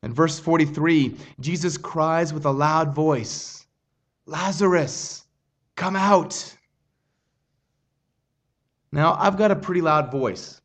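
A man preaching a sermon in an earnest, measured way, often restarting his phrases. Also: fairly high pitch. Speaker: pastor.

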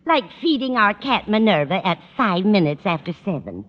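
An absent-minded landlady in an old radio sitcom. She sounds earnest and muddled, with very lively intonation. The recording is dull and carries a long reverb. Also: medium pitch, normal speed. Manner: vague, earnest. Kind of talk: 1940s radio sitcom acting.

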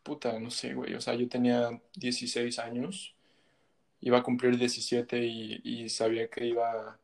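A man's voice: mid-range voice, monotone, normal speed, creaky. A young man from Mexico with a Mexican Spanish accent, a guest musician telling his story in casual, slangy chat full of fillers. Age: young adult. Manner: rambling, slangy, frequent fillers.

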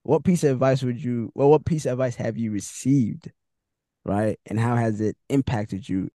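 A man hosts a podcast in a laid-back, slangy way and laughs easily with his guest. His voice has a dark, mellow tone at an ordinary pitch for a man, and the recording is dry and close. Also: normal speed, no echo. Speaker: podcast host. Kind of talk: casual interview chat.

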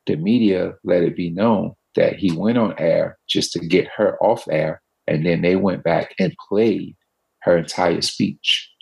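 A man with a deep, loud voice, speaking earnestly and reflectively as a guest in a casual conversation. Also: creaky, husky, poor audio. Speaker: guest, running group organizer.

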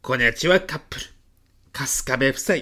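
A man in his fifties playing an earnest department manager who presents in a young woman's girlish manner, in polite, expressive business speech for a comedic radio drama. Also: mid-range voice, fairly quick. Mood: polite, businesslike. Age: 50s.

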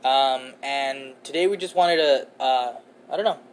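A man's voice: mid-range voice, measured pace, slight background noise. A man in his twenties co-hosting a podcast, chatting in a laid-back, rambling way with lots of fillers and casual swearing. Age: 20s. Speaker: podcast co-host. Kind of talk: casual chat.

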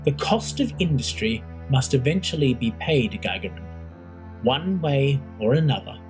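A woman's voice: rumbling low, big pitch swings, moderate pace, over music. A narrator voicing a stern, commanding woman who heads an imperial academy, speaking with cutting scorn. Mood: grim.

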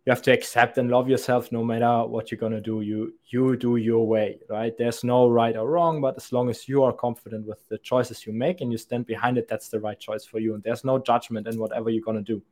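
A man's voice: mid-range voice, flat delivery, brisk, few pauses. Young man rambling through interview answers. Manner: rambling and thoughtful, frequent restarts and soft laughs. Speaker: podcast guest, sales account executive.

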